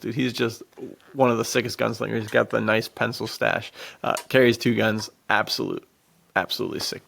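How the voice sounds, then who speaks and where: A man co-hosting a podcast, chatting casually and pitching things in laid-back slang. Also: gravelly, a bit bright, husky. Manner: laid-back slangy, says "dude" often. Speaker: podcast co-host. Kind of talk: casual promotional podcast chat.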